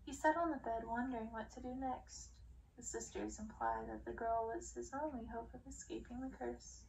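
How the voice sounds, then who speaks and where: A woman reads a dark fairy-tale story aloud in ASMR style, as a dramatic storyteller who draws out words and slips toward whispers. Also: fairly high pitch, fairly even intonation, normal speed, creaky. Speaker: audiobook reader. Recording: distant mic with faint noise.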